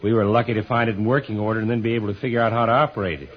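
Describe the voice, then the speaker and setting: Male actor as excitable loyal engineer, plain-spoken, old radio sci-fi acting, muffled sound, light hiss. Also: fairly low pitch, very fast, natural voice. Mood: resigned, rueful. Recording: dull, hissy old radio recording.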